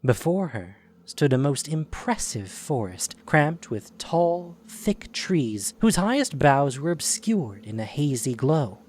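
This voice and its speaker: A man's voice: on the high side, sing-song, takes it slowly, few pauses, darkish tone, a little hiss or hum. A man narrating a scripted audio drama as its storyteller.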